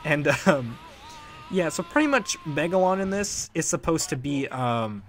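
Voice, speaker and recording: A man giving casual, unscripted commentary as the creator of a fan project, rambling and halting as he talks. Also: fairly high pitch, sing-song, fairly bright tone, faint music behind. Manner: rambling, halting, chuckling now and then.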